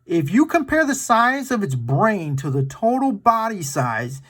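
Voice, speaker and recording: A man's voice: sits high, sing-song, unhurried, a bit bright. A man hosting a children's story time, reading aloud in an enthusiastic, playful and dramatic way with casual asides to his young viewers.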